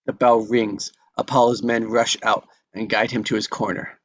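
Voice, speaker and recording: A man with a fairly low voice serves as narrator at a table read. He reads out scene descriptions and action from a film script. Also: little pitch movement, normal speed, lo-fi sound.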